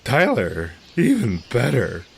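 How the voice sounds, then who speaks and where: A man's voice: mid-range voice, wildly swooping pitch, slow, vocal fry, a little hiss or hum, full character voice. A man playing a loopy, flirtatious, groggy sports reporter in an audio comedy, drawling as if dazed. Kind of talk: comedy audio drama acting.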